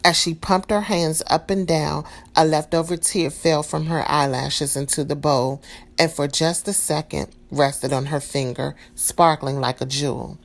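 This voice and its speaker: Woman storyteller, low gravelly voice, calm expressive read-aloud for kids. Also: a little slow. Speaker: storybook reader.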